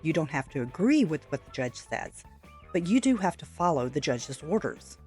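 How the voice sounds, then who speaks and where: A woman giving opinion commentary in a measured, matter-of-fact way, correcting point by point. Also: deep voice, big pitch swings, darkish tone, faint music behind. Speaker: reaction commentator. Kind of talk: opinion commentary over played clips.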